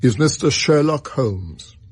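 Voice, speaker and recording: An actor playing a distressed, stubborn middle-aged banker with a gravelly British voice in a radio drama. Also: male voice, medium pitch, expressive, takes it slowly. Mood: stern, anguished. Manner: agitated, insistent, formal. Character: stern, distressed, stubborn older banker, an uncle.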